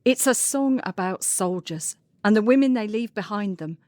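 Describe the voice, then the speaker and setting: A woman's voice: medium pitch, lively intonation, moderate pace, a little mellow, no room sound, natural voice. Woman acting an insistent singer, dry sound. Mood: stubborn. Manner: insistent, defiant. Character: stubborn, passionate woman singer standing her ground. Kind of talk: audio drama acting.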